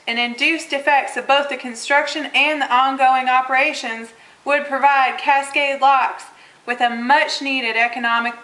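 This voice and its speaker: A woman's voice: high voice, bright, forceful tone. A woman playing an insistent advocate of local development, reading scripted lines in an amateur puppet show. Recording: roomy, echoing room sound.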